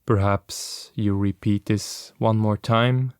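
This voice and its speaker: A man guiding a body scan meditation as a teacher, giving gentle, unhurried instructions with long pauses. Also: fairly low pitch, steady tone, smooth, no room sound, clean studio sound.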